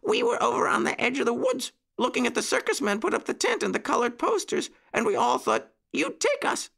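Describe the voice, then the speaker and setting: A man voicing excitable little puppy boys in a children's story, eager and pleading with barking exclamations. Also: very high-pitched, fairly quick, gravelly, husky, pitched above the performer's own voice, full character voice.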